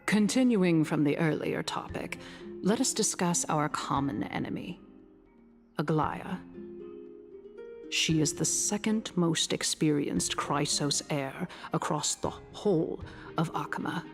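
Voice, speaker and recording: A woman voice-acting a shrewd, courtly female council politician in a video game, speaking in formal, measured, grandiose oratory. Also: deep voice, gravelly, soft background music. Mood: measured, diplomatic.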